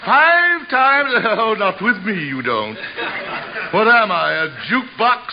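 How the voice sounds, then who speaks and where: Male pompous stage star, theatrical and affronted, very animated delivery, dull vintage radio sound. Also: high-pitched, slow pace, exaggerated voice. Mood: indignant. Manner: theatrical, indignant. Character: pompous, grandiose, easily affronted stage actor. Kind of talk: 1950s radio comedy acting. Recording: dull, noisy old-time radio recording.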